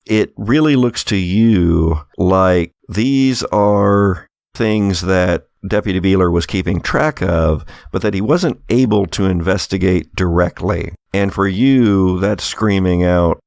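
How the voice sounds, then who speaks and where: A man's voice: low-pitched, slow pace, vocal fry, darkish tone, dry recording, studio quality. A man running a tabletop horror game as game master, narrating and explaining in a conversational way.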